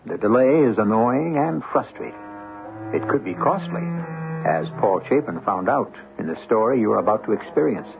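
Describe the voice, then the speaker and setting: A man's voice: fairly low pitch, lively intonation, normal speed, gravelly. A man narrating as host of an old-time radio mystery, in a dramatic scripted style. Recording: tinny, narrow-band radio broadcast.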